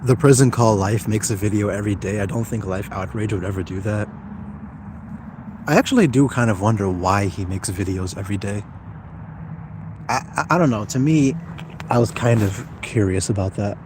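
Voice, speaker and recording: A man's voice: on the low side, moderate pace, noisy background. A young man talking casually on a livestream, informal and slangy, thinking aloud as he answers viewers. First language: Somali speaker, as second language. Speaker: YouTuber live-streaming.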